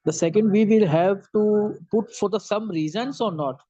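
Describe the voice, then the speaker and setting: Man, hesitant student, mellow voice, lo-fi video call. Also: high voice, dry recording. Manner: hesitant, halting questions. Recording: dull lo-fi video call audio.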